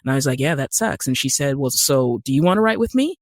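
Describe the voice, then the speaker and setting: A woman's voice: rumbling low, expressive, fast talker, no room sound, studio quality. A middle-aged American woman telling stories casually as an interview guest, with wry, self-deprecating humour. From Utah, United States. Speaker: podcast guest, actor and writer.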